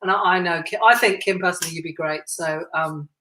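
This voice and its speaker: Brief: chatty woman podcast host, lowish voice, roomy echo around her. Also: fairly even intonation, a little fast, slight background noise. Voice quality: self-described deep voice. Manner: chatty and warm, laughs often. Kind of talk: casual interview chat.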